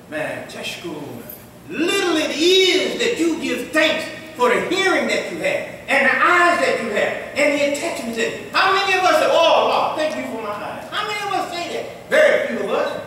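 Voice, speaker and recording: A middle-aged man, an imam, giving a Friday sermon at a mosque in a conversational, joking way that builds to emphatic shouts. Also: very high-pitched, a little hiss or hum. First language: English. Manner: conversational preaching, jokes and laughs, rises to emphatic shouts. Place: mosque room with tiled walls. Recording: very echoey hall, taken from a distance.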